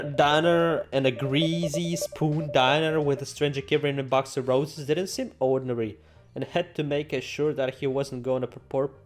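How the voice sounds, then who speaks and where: A man doing game playthrough commentary, reading the story text aloud with theatrical, sarcastic asides. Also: medium pitch. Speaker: gaming video host. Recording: music behind the voice.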